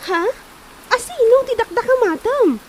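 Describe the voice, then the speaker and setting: Very high-pitched, animated Ilocano village actress as a woman. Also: moderate pace, a little hiss or hum, poor audio. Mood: puzzled, indignant. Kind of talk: Ilocano comedy drama acting.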